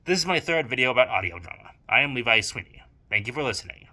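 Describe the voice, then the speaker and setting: Male essay narrator, mid pitch, strikingly bright and tense tone, emphatic, opinionated scripted delivery with formal wording. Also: sing-song, fast. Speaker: audio drama writer and producer presenting a video.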